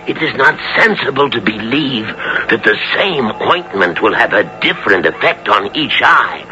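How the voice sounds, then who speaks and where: An actor playing a greedy, wheedling merchant in a theatrical, effusive 1970s radio drama style. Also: male voice, mid-range voice, big pitch swings, a little slow. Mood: greedy, excited. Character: greedy, wheedling merchant turned repentant blind beggar. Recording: muffled old radio recording with music.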